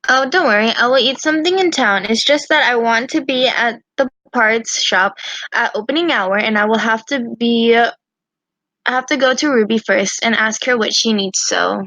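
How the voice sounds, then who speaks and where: A woman doing an amateur reading of character dialogue, with a halting delivery that sometimes restarts a line. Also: on the high side, bright, forceful tone, no room sound, low-quality recording. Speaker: reader voicing female characters in a fan visual novel.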